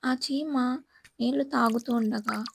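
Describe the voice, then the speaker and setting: A woman's voice: a little high, steady tone, takes it slowly, vocal fry, slight background noise. A woman storyteller reading a scripted children's moral tale as narrator.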